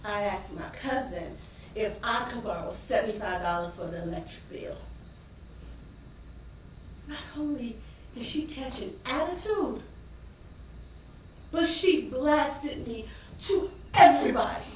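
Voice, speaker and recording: A weary, embittered wife and mother as a woman acts her out on stage pours out a dramatic monologue. Her pitch swings widely and she takes her time, with heavy pauses. The sound is distant and heavily echoing, as in a theater. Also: animated, darkish tone. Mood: bitter, resentful, then hurt, ashamed. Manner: emotive, dramatic, with long pauses and sudden swells. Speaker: stage actor. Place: indoor stage performance space. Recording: roomy echoing theater from afar, faint noise.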